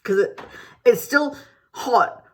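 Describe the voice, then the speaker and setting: A woman's voice: a little high, takes it slowly, a bit bright. A woman who grew up in Malaysia, chatting to camera at home in a rambling, casual way with many restarts. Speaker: beauty vlogger. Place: home, indoors.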